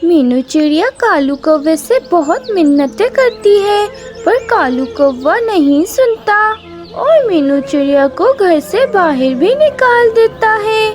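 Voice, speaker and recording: A woman's voice: very high voice, big pitch swings, slow, music in the background. A woman reading scripted narration for a children's story cartoon.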